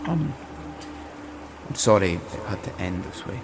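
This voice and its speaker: A man's voice: sits low, expressive, unhurried, noisy background. An actor playing a bitter, proud king in an audio drama, brooding and intense, with music behind him. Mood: wary. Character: bitter, proud young king hungry for godlike power.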